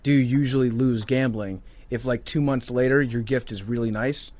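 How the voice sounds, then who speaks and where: A man's voice: medium pitch, steady tone, normal speed, a little mellow. A man co-hosting a podcast in casual comedic chat, often repeating phrases as he goes. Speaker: podcast co-host.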